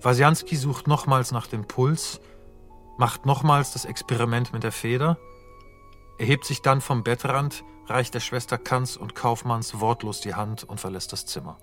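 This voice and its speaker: A male narrator reads scene directions for a radio drama. His delivery is measured and sober, in a mid-pitched voice, with faint music behind him. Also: a bit bright.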